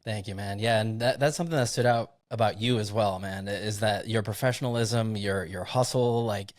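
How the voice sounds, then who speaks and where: A man in his thirties from Puerto Rico, a podcast guest talking in a relaxed, chatty way from his own studio over a remote link. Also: on the low side, fairly quick, dry recording. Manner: relaxed, chatty, laughs often, says "like" a lot. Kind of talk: casual interview chat. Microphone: remote recording over video call.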